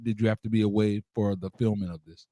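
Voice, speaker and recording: A man's voice: lowish voice, fairly even intonation, fast talker, mellow, no room sound. A man hosting a casual interview, talking in an informal, slangy and encouraging way. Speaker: radio show host interviewing a guest.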